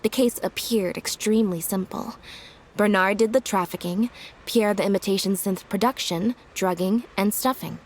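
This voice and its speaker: A woman playing a composed, sharply logical detective in a video game, explaining in a measured way. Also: medium pitch, lightly acted. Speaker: detective character. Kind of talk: video game story voice acting. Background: faint music.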